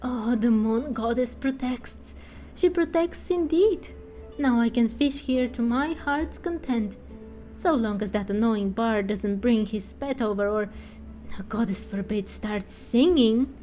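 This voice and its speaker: A woman's voice: on the high side, slow pace, warm tone, faint music behind. A woman acting a grumbling fisher villager in a game, explaining things in a matter-of-fact way. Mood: amazed, grumbling.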